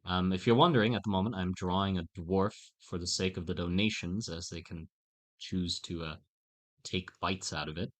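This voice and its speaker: A man chatting casually on a live stream while he draws, in a halting, self-mocking way. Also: low voice, steady tone, fairly bright tone.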